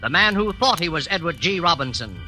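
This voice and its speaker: A man's voice: fairly high pitch, very animated, a little fast, low-quality recording. A man announcing a 1940s radio thriller in a theatrical, portentous manner. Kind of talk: dramatic 1940s radio introduction. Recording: old radio broadcast over music.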